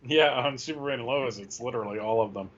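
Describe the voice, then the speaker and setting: A man's voice: mid-range voice, fast talker. A slightly lo-fi recording of a man co-hosting. He chats casually in a mid-range voice. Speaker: co-host. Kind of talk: casual chat.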